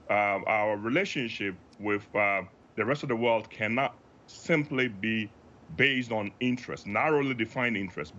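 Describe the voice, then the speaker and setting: A man from Africa gives expert analysis in a news interview. His voice is bright and forceful, his delivery measured and emphatic. The audio is somewhat dull and band-limited. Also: medium pitch. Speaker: professor, expert guest.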